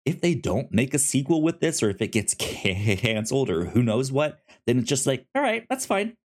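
Male podcast co-host, conversational, halting delivery with frequent repeats and restarts. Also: mid-range voice, very animated. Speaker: podcast co-host reviewing movies. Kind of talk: casual film discussion.

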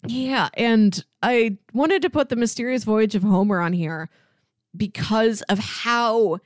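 A woman co-hosting a podcast, casually retelling scenes like an animated storyteller. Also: a little high, takes it slowly, no echo, studio quality. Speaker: podcast co-host.

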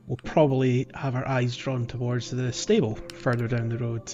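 A man's voice: medium pitch, flat delivery, normal speed, mellow, lo-fi sound. A man hosting a casual gaming podcast, talking in a hesitant, self-deprecating way. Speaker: podcast host.

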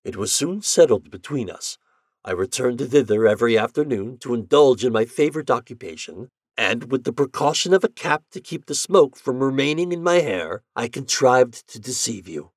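A mild young husband explaining himself gently and apologetically, as a man puts him on. His voice moves lively over a dry, close recording. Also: medium pitch, moderate pace, no room sound, pitched above the performer's own voice, close to an everyday voice. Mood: apologetic.

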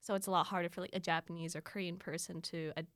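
A woman's voice: lowish voice, flat delivery, fast, a bit bright, no echo. Young woman from the philippines, podcast guest, talks a lot, dry close sound, lots of likes and ums. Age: young adult. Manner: friendly, talkative, frequent likes and ums. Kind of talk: casual conversational interview.

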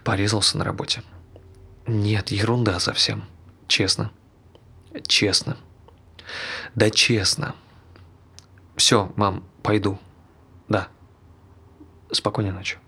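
A man's voice: on the low side, level tone, takes it slowly, vocal fry, long pauses, pushed and bright, breathy edge, full character voice. An actor playing a tired, guarded ordinary man in a naturalistic stage drama, answering tersely and with understatement. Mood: gentle reassuring.

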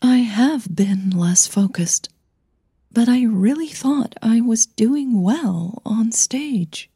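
A woman's voice: lively intonation, slow pace, mellow, breathy edge, dry recording, studio quality, full character voice. A woman voicing a nervous, defensive young opera singer in an audio drama, hesitant and uneasy. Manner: hesitant, higher-pitched, uneasy.

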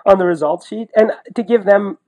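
A Canadian man giving casual, opinionated sports analysis in an animated, colloquial way, as a podcast co-host. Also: high voice, moderate pace. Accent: Canadian English. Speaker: podcast co-host and ski commentator.

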